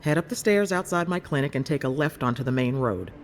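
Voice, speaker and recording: An actor voicing a caring, inquisitive healer in a video game, with a measured, advising manner. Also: male voice, medium pitch, expressive, soft background music, close to an everyday voice. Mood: reassuring, advising. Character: keen, caring clinic healer, intrigued by strange souls. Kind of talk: video game character dialogue.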